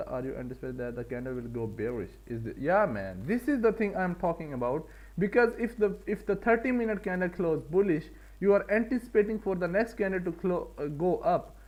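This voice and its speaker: Higher-pitched Pakistani man, casual streamer. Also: darkish tone, low-quality recording. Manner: repeats and rephrases points for emphasis. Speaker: live-stream forex trader and host. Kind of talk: casual live trading commentary.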